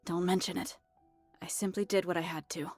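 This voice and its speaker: A woman's voice: fairly low pitch, fairly even intonation, brisk, music in the background, lightly acted. Woman acting a curt, stoic young hunter. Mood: stoic, terse. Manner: curt, matter-of-fact. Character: terse, stoic young female android scout and hunter. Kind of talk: anime game voice acting.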